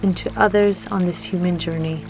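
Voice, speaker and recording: Gentle woman guiding meditation over music. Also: on the low side, steady tone. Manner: gentle, soothing, unhurried phrasing with long pauses. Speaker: guided meditation host. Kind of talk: guided meditation affirmations, scripted. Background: music and trickling water.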